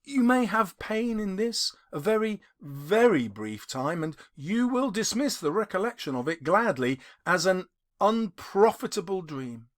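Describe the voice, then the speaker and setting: A man voicing a gentle, sorrowful but resolute young woman in an audiobook of a classic novel. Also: mid-range voice, big pitch swings, a little slow. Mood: resigned farewell.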